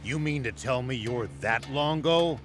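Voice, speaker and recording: A man's voice: medium pitch, unhurried, faint music behind, natural voice. A man playing a composed, sensible old veteran general in a video game. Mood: probing.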